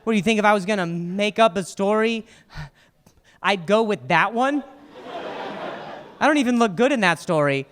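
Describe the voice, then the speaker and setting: A stand-up comedian in his thirties speaks with a noticeable stutter. His voice sits high, and he grows animated and exasperated as he works the room. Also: moderate pace, long pauses, a little hiss or hum. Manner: animated, exasperated, escalating outbursts. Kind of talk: stand-up comedy and video narration.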